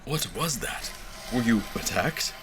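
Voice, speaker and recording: A man playing a formal royal officer, narrating his sea voyage in measured, literary words for an audio drama. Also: mid-range voice, lively intonation, background noise, natural voice. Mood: uneasy. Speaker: narrator and character actor. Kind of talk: first-person scripted audio drama narration.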